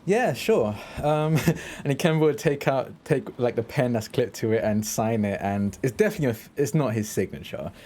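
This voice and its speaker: A man casually and haltingly describing his character's actions as a player in a tabletop game. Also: medium pitch, dark tone.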